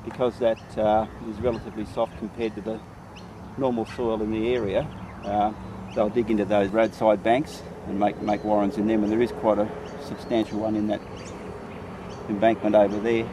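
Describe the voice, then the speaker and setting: Low, even-toned man talking outdoors. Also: normal speed. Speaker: rabbit control trapper and trainer. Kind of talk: informal field explanation. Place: outdoors on rural land. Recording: clear outdoor rural background noise.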